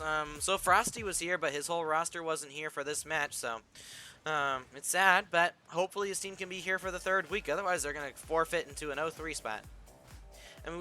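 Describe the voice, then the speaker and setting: Young guy casting a game on stream, kinda hoarse, bright sharp tone, music going faint behind him. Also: on the high side. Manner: rambling, chatty, talks to chat. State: losing his voice, slightly raspy. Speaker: esports caster and stream host. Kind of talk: casual play-by-play commentary with chat.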